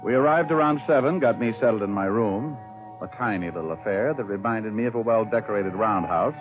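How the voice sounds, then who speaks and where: An American actor playing a breezy, wry New York private detective in an old radio drama, narrating and trading sardonic wisecracks. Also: male voice, fairly low pitch, a little fast, lightly acted. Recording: muffled old radio recording, music behind.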